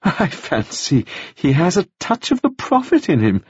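A man's voice: a little high, huge pitch swings, unhurried, a little mellow, husky, no room sound, pitched above the performer's own voice, heavily acted. A man voicing a breezy, pushy widow who talks in a gushing, bossy way, with a dull lo-fi sound. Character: breezy, pushy middle-aged woman, a widow. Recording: dull lo-fi dry recording.

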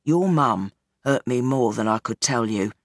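A woman reads as an aging crime boss in a storybook, low and heavy, calm and unrepentant. His deep voice sits far below the narrator's, sounding tired and settled. The sound is dry and close. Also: unhurried, no room sound, full character voice. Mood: resigned.

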